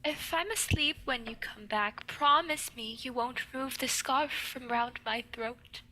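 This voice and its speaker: Woman acting frail young victim, very high voice, slow, drowsy, languid, vintage radio play. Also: sharp tone, heavily acted, low-quality recording. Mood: weakly pleading. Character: Lucy, weary, ailing young woman, a vampire's victim.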